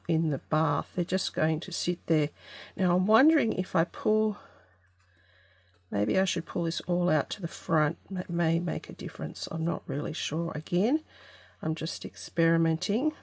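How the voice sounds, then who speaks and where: A woman with a slightly low, congested voice hosts a relaxed craft tutorial. She speaks unhurriedly and tentatively, thinking aloud as she goes. The sound is dry, with no room echo. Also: moderate pace, vocal fry, husky. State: congested from allergy. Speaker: craft channel host. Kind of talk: casual craft tutorial vlog.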